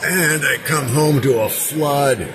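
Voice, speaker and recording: A man's voice: fairly high pitch, takes it slowly, rough recording. An American man vlogging casually off the cuff, with a folksy, exclamatory way of remarking on things. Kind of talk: casual off-the-cuff narration to camera. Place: inside a moving car in heavy rain, then around a house. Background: music on car radio, rain.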